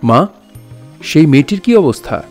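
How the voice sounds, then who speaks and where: A man's voice: a little high, very animated, moderate pace, music in the background. A man acting an earnest, devoted young doctor and son in an audio drama, with a hesitant, emotional manner. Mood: hesitant, anxious.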